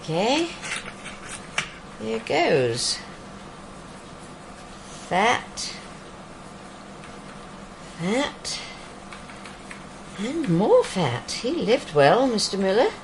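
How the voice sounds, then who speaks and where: A woman acting a dry, witty police pathologist in a radio drama, with a deadpan, literate delivery. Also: fairly high pitch, drawn-out words, gravelly, long pauses, noisy background, exaggerated voice.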